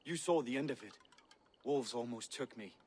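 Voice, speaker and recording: A man's voice: mid-range voice, a little mellow, slightly rough, lightly acted. An actor playing a proud, zealous male Viking warrior who talks grandly and bristles when questioned. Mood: boastful. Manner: grandiose, boastful, quick to bristle. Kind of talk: game dialogue.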